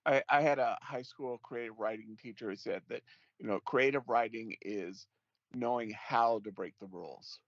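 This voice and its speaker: Man hosting with halting restarts. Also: medium pitch, no echo, highs cut off. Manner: supportive, short backchannels, halting restarts. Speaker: podcast host. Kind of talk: casual interview.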